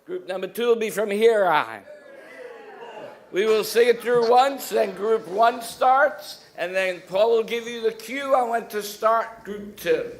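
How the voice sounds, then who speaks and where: Really high-pitched guy leading church singing, slowish, lots of creak. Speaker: song leader at a church service. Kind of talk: spoken instructions to a congregation. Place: church service before a congregation.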